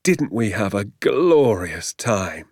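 A man's voice: medium pitch, big pitch swings, normal speed, darkish tone, no echo, studio quality. A man voicing an exuberant, boastful father in a storybook, grandly emphatic and stretching his words with relish. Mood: elated.

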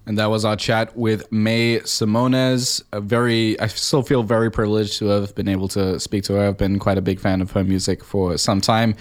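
A man's voice: lowish voice, little pitch movement, normal speed, hardly any pauses. A man hosting a radio show, chatty and rambling, full of "like" and "you know". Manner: chatty, rambling, heavy on fillers. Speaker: radio host. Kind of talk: casual radio interview and chat.

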